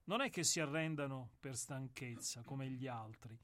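An actor in a radio play, voicing a somber, philosophical executioner who speaks in a grave, measured and deferential way. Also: male voice, mid-range voice, fairly bright tone, natural voice. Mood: brooding. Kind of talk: radio drama acting.

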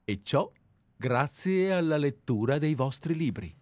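An actor in a radio play playing a meek, middle-aged former bookkeeper turned detective, deferential, wordy and formally polite. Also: male voice, a little high, expressive, unhurried, darkish tone, no room sound. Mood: earnest, admiring.

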